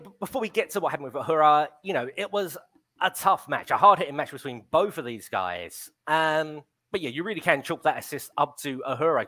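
A man's voice: fairly high pitch, bright, forceful tone. A man in his thirties hosting a wrestling show on a video call, chatting casually and reading out viewer comments with a headset mic. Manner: reads viewer comments, many ums. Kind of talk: casual live-stream commentary chat. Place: home room on a video call.

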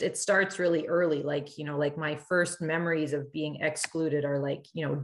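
A woman casually sharing personal memories in a group discussion on a video call. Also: low-pitched.

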